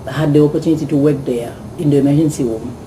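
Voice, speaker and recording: A man's voice: fairly high pitch, racing. A man from Haiti, a volunteer doctor, telling his story eagerly with frequent restarts. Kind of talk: recounting experience to camera. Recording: steady air-conditioning hiss.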